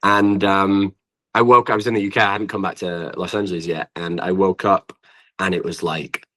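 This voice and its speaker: A man from the north of England talks casually as a guest songwriter, with a northern English accent. His voice is deep yet bright and forward. He speaks in loose, rambling, informal sentences. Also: level tone, moderate pace, low-quality recording. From Preston, north of England. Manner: rambling, informal, often says "like". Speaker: guest singer-songwriter and producer. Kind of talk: casual interview chat.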